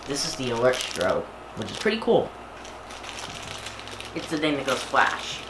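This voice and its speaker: A man with a medium-pitched voice presents an unboxing in a casual, informal way. He describes each small step aloud as he handles things. The home camera recording carries clear background noise. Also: sing-song, a little slow. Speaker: hobbyist video creator presenting an unboxing. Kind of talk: casual hands-on unboxing commentary.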